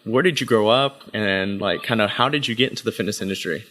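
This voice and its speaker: A man's voice: fairly low pitch, quick pace, a bit bright. A man hosting a casual fitness podcast, easygoing and agreeable as he asks his guest questions. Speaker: podcast host.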